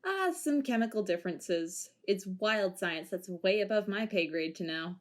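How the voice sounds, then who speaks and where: A woman's voice: mid-range voice, expressive, normal speed, lightly acted. A woman playing a casual, teasing female crew member in an amateur audio drama. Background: music.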